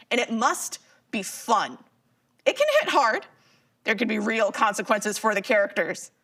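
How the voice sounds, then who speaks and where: A woman's voice: fairly high pitch, fairly bright tone. A woman playing a geeky, chatty modern character in a live audio drama, explaining things in a slangy, casual way.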